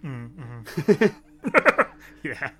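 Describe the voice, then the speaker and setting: A man's voice: medium pitch, very slow, soft background music. A man chatting casually on a podcast, complaining sarcastically about a TV episode. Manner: exasperated, sarcastic, animated complaining.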